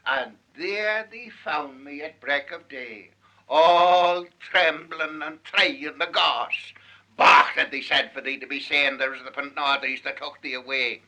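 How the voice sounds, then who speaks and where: A man's voice: on the high side, takes it slowly, sharp tone, low-quality recording. A man with a Manx accent giving a theatrical, emphatic recitation of dialect poetry on a 1940s archive recording. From Isle of Man. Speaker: Manx language student reciting dialect verse. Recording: 1948 archive field recording.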